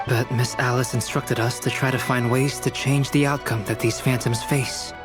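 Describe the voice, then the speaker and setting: An actor playing a composed, analytical young scholar in a fantasy game, speaking formally and precisely. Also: male voice, medium pitch, little pitch movement, moderate pace, lightly acted. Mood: calm, grave. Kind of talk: scripted game dialogue. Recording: music clearly under dialogue.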